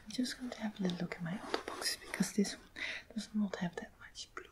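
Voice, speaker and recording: A woman whispers softly and breathily in an ASMR roleplay. She plays a shop assistant, murmuring gently to a customer with small hesitations between phrases. Her pitch sits in an ordinary middle range for a woman. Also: quick pace. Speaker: ASMR host playing a quilt shop assistant. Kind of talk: soft-spoken ASMR roleplay.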